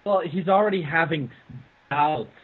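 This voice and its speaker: A man from Canada with a fairly high, husky voice chats through a film with friends. He is joking and mock-earnest, over a thin phone-like connection. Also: measured pace. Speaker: movie commentary host. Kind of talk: casual riffing commentary with friends. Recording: phone-like internet call.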